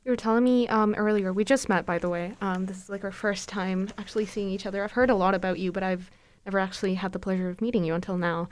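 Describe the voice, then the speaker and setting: A woman's voice: medium pitch, steady tone, quick pace, clear, resonant tone. A young woman co-hosting a podcast, chatty and upbeat with frequent fillers. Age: young adult. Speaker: podcast co-host. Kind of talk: casual podcast interview.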